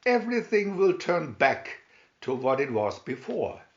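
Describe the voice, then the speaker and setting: A man voicing a sweet, kindly little fairy godmother who muses gently and chants spells in a storybook tale. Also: a little high, sing-song, a little slow, highs cut off. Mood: warning.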